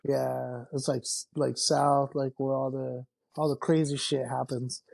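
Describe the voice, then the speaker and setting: A man's voice: medium pitch, a little slow, creaky. A man from Mexico, a podcast guest, talking casually with many fillers and curse words. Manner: relaxed, swearing, lots of fillers. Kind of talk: casual chat.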